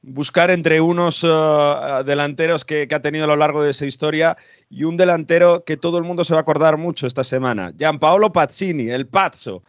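A man's voice: on the high side, a little fast, no room sound, muffled recording. A man co-hosting a sports show, talking through football analysis in a conversational way.

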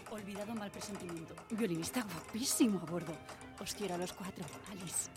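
A woman's voice: medium pitch, a little fast, a little mellow, faint music behind, natural voice. An actress playing an affectionate female passenger in a radio drama, reading out a telegram in clipped phrases.

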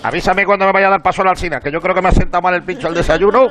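An actor playing a brash, careless male festival correspondent in a radio comedy sketch, crude, hurried and bossy. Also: high voice, fairly even intonation. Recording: loud crowd bustle behind the voice.

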